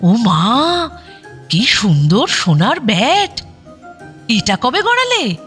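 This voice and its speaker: A woman playing a sharp-tongued yet fond wife and mother-in-law in an audio drama, scolding and expressive. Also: on the high side, slow pace, soft background music, heavily acted. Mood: delighted curiosity.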